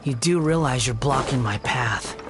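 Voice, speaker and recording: A woman's voice: extremely low pitch, moderate pace. A woman playing a guarded, proud, wounded young man, a wanderer in a video game. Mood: cold. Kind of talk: scripted video game dialogue. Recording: music behind the voice.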